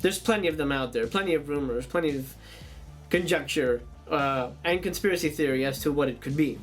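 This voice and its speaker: A man's voice: on the high side, fairly quick, faint music behind. A man hosting an online show in a casual monologue, with theatrical, rambling showman patter. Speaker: YouTube show host.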